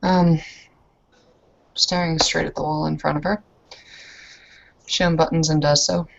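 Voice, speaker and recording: A woman's voice: low-pitched, level tone, measured pace, vocal fry. A young woman narrating her character's actions as a player in a tabletop roleplay game. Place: indoor room with leather couch, on video call. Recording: muffled lo-fi online call.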